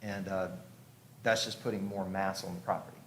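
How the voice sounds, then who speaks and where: A man's voice: low-pitched, level tone, normal speed, fairly bright tone. A man giving an informal explanatory presentation at a public hearing, conversational and thinking aloud as he goes. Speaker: house designer presenting to a review commission. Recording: echoey room, clearly audible background noise.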